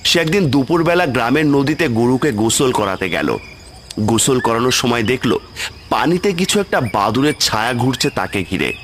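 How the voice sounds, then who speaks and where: A man's voice: medium pitch, animated, moderate pace, a little hiss or hum. A man telling a scripted horror story as the narrator of a cartoon tale. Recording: loud music with cricket sounds behind.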